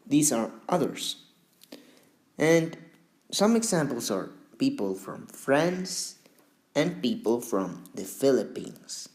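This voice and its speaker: A man teaching a beginner English lesson, speaking slowly and deliberately with long pauses between words. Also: mid-range voice, big pitch swings, a little mellow.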